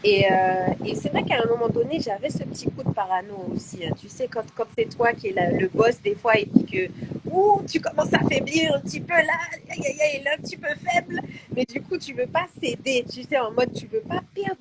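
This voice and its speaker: A lively woman guest on a live chat, full of expressive interjections. Her voice is fairly high, and it comes through heavy noise on the live-stream audio. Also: a little fast.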